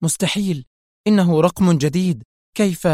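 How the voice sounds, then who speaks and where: A man voicing a hot-tempered, scheming woman crime boss in audiobook dialogue, sharp, agitated and insistent. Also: sits high, moderate pace, dry recording, studio quality, voice pushed up, close to an everyday voice.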